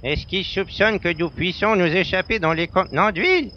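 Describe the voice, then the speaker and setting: A man's voice: high voice, moderate pace, lo-fi sound, slight background noise, natural voice. An actor playing a cautious male fugitive in an amateur sci-fi audio drama, with a doubtful, questioning delivery. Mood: worried.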